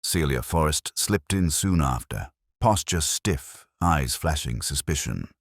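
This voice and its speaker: A man's voice: extremely low pitch, expressive, a little slow, no echo, clean studio sound. A man reading a scripted detective story as an audiobook narrator.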